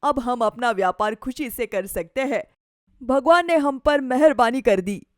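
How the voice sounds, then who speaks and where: Woman playing a gentle, high-voiced village mother. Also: measured pace, dry recording, studio quality, close to an everyday voice. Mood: happy. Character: kind, gentle village mother, glad and practical. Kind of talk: animated moral story dialogue.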